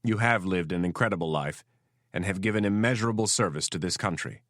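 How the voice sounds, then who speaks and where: A man's voice: deep voice, a little fast, a bit bright, no room sound, studio quality. A man voicing a weary middle-aged male president who talks plainly and frankly.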